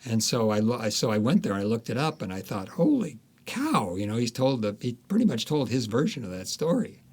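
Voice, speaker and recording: A man speaking as an interviewed author, telling stories conversationally with animated retellings and quoted asides. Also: medium pitch, brisk, breathy edge.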